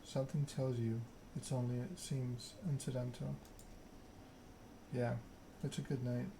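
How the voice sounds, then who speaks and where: Male ASMR narrator reading second-person fiction. Measured, unhurried, narrow pitch range, with clear background noise. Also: level tone. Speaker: video game streamer reading game text aloud.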